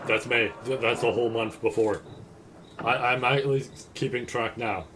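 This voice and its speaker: A man's voice: medium pitch, steady tone, normal speed, background noise. A man casually commenting while he watches a horror video on stream, reacting nervously to what he sees. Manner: jumpy, anxious reactions. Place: room at home with a second monitor.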